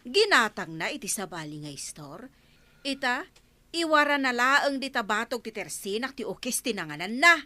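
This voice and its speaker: A woman's voice: fairly high pitch, very animated, normal speed, sharp tone, dry recording. A woman playing an aggrieved, grudge-holding village woman in an Ilocano Filipino comedy drama, full of indignant complaint.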